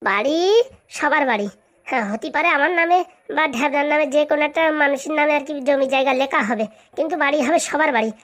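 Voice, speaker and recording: A woman's voice: high voice, fast talker, fairly bright tone. A woman voicing a brash, scheming man in a comic cartoon, with blunt banter. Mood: confident, bossy. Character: brash, scheming, hospitable man planning a second wedding.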